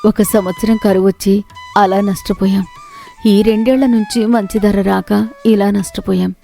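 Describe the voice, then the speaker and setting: Poor farmer's wife played by a female narrator for an animated moral tale: homely, plaintive, a touch of creak. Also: mid-range voice, normal speed, faint music behind, lightly acted. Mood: worried, hopeful. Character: poor farmer's wife, worried yet gently hopeful.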